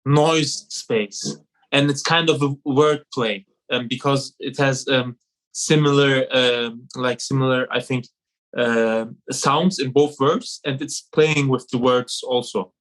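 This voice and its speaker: A man's voice: medium pitch, steady tone, takes it slowly, a bit bright, poor audio. Hesitant German-accented man in his thirties. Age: 30s. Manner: hesitant, many fillers. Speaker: poet. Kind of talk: casual video call chat, poetry reading. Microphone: video call.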